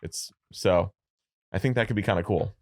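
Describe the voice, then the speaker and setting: A man co-hosting a casual podcast, pitching ideas loosely with jokes and lots of fillers. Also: moderate pace, darkish tone. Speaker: podcast co-host. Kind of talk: casual studio chat.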